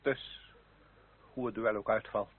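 Stiffly polite aristocrat, lowish voice, period radio drama, narrow old recording. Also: male voice, fairly even intonation, slightly rough. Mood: restrained pride. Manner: formal, measured politeness. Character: courtly, proud aristocratic male suitor, stiffly restrained. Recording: tinny, band-limited old radio recording with hiss.

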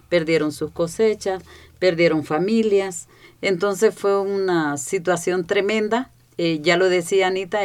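A woman from Honduras with a Honduran Spanish accent, a guest on a radio panel calmly recounting the history of her migrant-family group. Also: lowish voice. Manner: calm, recounting, fillers "eh".

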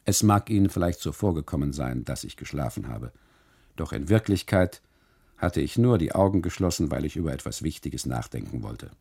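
A man's voice: extremely low pitch, lively intonation, a little fast, gravelly, warm tone, breathy edge. A man performing a first-person literary monologue for radio, with theatrical, emphatic phrasing.

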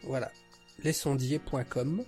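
A man's voice: medium pitch, steady tone, slow pace, over loud music. A man talking as a podcast guest in a casual, informal, easygoing conversational style.

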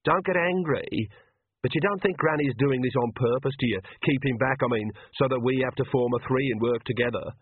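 A man's voice: mid-range voice, fairly quick, husky, narrow, tinny audio, lightly acted. A man voicing a practical young woman witch with a dry, sceptical, questioning manner.

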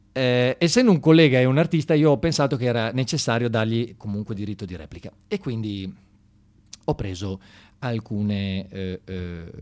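A man doing a comic theatrical monologue in a conversational, halting and mock-serious way, often repeating himself. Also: mid-range voice, darkish tone. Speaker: satirical stage monologist on radio.

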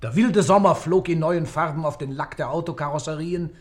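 A man's voice: fairly high pitch, brisk, natural voice. A man playing a grave, obsessive witness who narrates a radio play in solemn, declamatory poetic style. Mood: solemn fervour.

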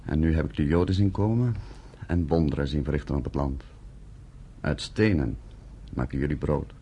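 Very deep, grave village headman. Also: moderate pace, dark tone, slight background noise. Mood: solemn, earnest. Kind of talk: radio drama acting.

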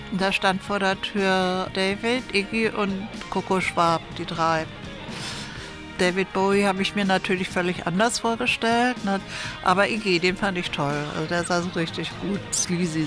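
A woman interviewee casually recalling old times. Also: medium pitch. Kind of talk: casual reminiscing.

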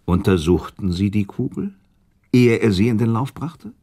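A man's voice: fairly low pitch, expressive, moderate pace, dark tone. A man playing a noble, sceptical prince in a German radio play, arguing insistently and reasoning with rhetorical questions. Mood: probing, argumentative.

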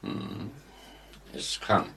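An actor playing an unflappable, quietly menacing lift operator in a vintage radio crime play, terse and deadpan. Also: male voice, drawn-out words. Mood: matter-of-fact.

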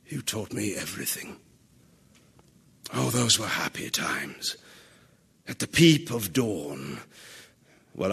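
A man's voice: medium pitch, a bit bright, slightly rough, exaggerated voice. Swinging, theatrical king in an echoing hall. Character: moody, boyish, needy English king, by turns imperious and fond. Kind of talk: theatrical period drama acting. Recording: echoey hall with long reverb.

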